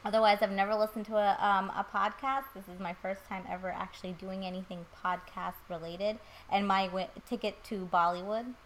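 A woman's voice: mid-range voice, steady tone, fairly quick, hardly any pauses, fairly bright tone, slight background noise, rough recording. Giggly late-20s woman cohosting a chatty podcast, pokes fun at herself. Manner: giggly, self-deprecating. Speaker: podcast co-host. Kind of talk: casual group chat.

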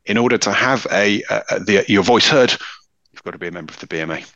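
Deep-voiced english guy, forceful, earnest, on a call. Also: quick pace, creaky, no room sound, lo-fi sound. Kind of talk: online briefing, opinionated. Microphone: video call.

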